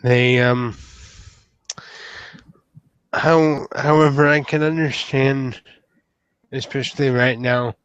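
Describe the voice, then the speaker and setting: Man playing an earnest, stammering, slow-spoken young knight hopeful. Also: medium pitch, steady tone, a bit bright, smooth, no echo, highs cut off. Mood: guarded, disapproving. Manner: hesitant, stammering, formal. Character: earnest, bashful young male would-be knight named Sam.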